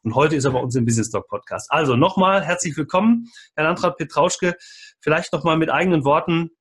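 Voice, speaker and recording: A man hosting an interview podcast, moving between scripted presentation and questions, with a husky voice. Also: fairly high pitch, expressive, brisk, fairly bright tone.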